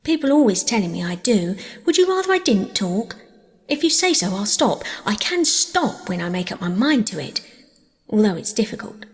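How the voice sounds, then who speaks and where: A woman's voice: medium pitch, sing-song, normal speed, lightly acted. Audiobook child role, performed by a woman: talkative, wordy, starry-eyed young orphan girl; long hall reverb. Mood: eager, curious. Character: chatty, dreamy, eager young orphan girl. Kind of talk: audiobook character voice.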